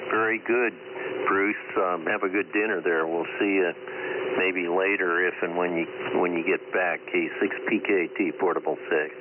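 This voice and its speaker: A man's voice: low-pitched, steady tone, moderate pace, background noise. A man talking casually as an amateur radio operator over a narrow radio link.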